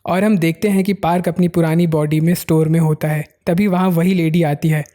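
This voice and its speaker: A recap narrator tells the story in a steady flow, linking one scene to the next without pause. The tone is mellow and dark rather than bright. The pitch sits in a middle range.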